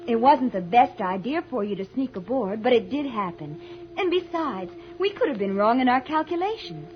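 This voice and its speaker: Young space heroine, composed and earnest, high voice, muffled vintage radio sound. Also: female voice, big pitch swings, moderate pace, slight background noise. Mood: reassuring. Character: plucky, steady young woman, scientist's daughter. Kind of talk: 1950s radio drama acting. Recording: dull old radio recording.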